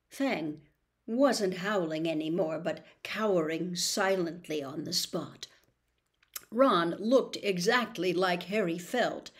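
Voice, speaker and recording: A woman in her sixties reading a story aloud in a bedroom, with deliberate, suspenseful, theatrical narration. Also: fairly low pitch. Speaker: storybook reader. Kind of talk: dramatic audiobook-style narration. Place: small bedroom, indoors.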